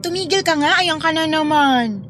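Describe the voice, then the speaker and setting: A playful, affectionate young woman in love in a Filipino radio drama. Her voice sits fairly high, eager and caring. Music plays clearly underneath. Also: moderate pace, fairly bright tone. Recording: music bed under dialogue.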